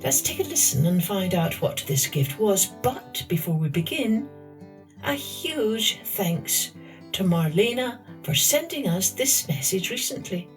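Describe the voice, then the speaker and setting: A woman narrating scripted stories for children in a measured, deliberate way with pauses. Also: mid-range voice, big pitch swings, soft background music. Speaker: podcast host and storyteller.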